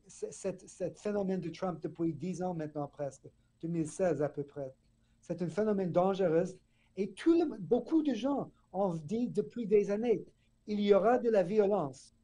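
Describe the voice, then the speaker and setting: Earnest professor with a very dark voice. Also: male voice, high-pitched, unhurried. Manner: earnest, restarts words. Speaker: political science professor. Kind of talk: radio interview guest.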